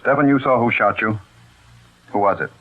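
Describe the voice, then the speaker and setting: An actor playing a stern, decisive army major in an old radio drama, grim and authoritative in short, clipped phrases. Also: male voice, fairly low pitch, normal speed, natural voice. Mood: accusing. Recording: tinny, band-limited old radio recording.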